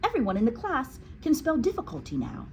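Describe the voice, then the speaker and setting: A bright little schoolboy from a storybook, acted out by a woman reading aloud. He pipes up eagerly and bravely in a raised, bouncing voice, with faint noise behind. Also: on the high side, huge pitch swings, a little fast, creaky, voice pushed up, heavily acted. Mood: eager, cheeky. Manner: piping, eager.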